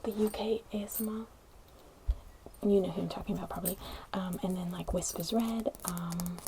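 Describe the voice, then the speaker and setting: A young woman with a put-on posh British accent, whispering a gentle, rambling ASMR chat into a close microphone. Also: mid-range voice, vocal fry, slight background noise, poor audio. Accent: put-on posh British English. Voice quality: whispered, hushed. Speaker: ASMR creator. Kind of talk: soft-spoken ASMR chat. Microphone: close sensitive ASMR microphone.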